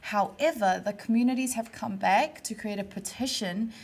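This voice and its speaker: A woman presenting a casual studio chat show in place of the usual host, talking in a friendly, relaxed, reassuring way. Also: medium pitch, normal speed.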